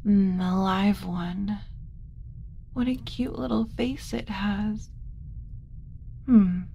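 Kind alien mother, played by a woman, flustered but tender; ASMR roleplay, soft and mellow, unhurried, slipping into whispers. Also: medium pitch, fairly even intonation, creaky, dull audio, slight background noise. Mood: curious, musing. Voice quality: soft, often dropping to a whisper. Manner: gentle, cooing, hesitant muttering to herself.

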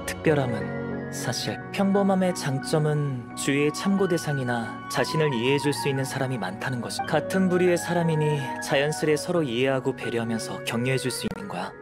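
An actor playing a calm, scholarly young alchemist in an anime game, composed, measured and gently detached. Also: male voice, mid-range voice, loud music behind the voice, natural voice. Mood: reflective, calm.

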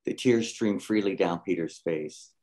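A man's voice: deep voice, a little slow, warm tone. A man reading scripted screenplay stage directions as the narrator at a table read.

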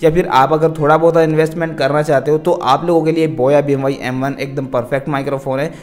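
Higher-pitched young man vlogging. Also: steady tone, a little fast. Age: young adult. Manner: instructional, addresses viewers repeatedly. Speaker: tech video creator and host. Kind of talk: direct-to-camera product advice vlog. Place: small decorated indoor room. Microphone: wired lavalier held in hand. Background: background music.

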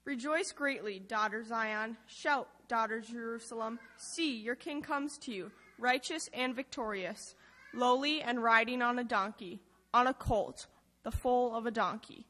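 A woman's voice: a little high, unhurried, bright, forceful tone. A young woman reading scripture aloud as a lay reader in worship. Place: church lectern. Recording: long hall reverb.

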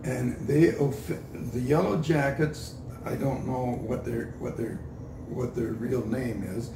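Slow, gravelly older Canadian man. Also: medium pitch, fairly even intonation. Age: older, 60s or above. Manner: halting, deliberate, repeats himself. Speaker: street preacher being interviewed. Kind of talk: interview answers. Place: indoor room with a couch. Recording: roomy, distant mic, noisy.

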